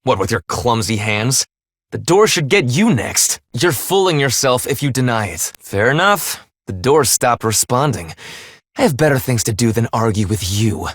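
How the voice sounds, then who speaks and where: Blunt bossy young game character, stern n clipped, really animated pitch, dry studio sound. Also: male voice, moderate pace, dry recording. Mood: sardonic, irritated. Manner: clipped, formal, coolly blunt, commanding. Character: stern, blunt young male half-human leader of escaped prisoners. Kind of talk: English video game voice acting.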